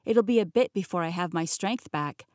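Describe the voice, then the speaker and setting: A woman's voice: on the low side, lively intonation, moderate pace, a little mellow, no room sound, lightly acted. Brief: worn-out apologetic young man, read by a female narrator, plain and close. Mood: rueful. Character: young man, sheepish, tired after teleporting.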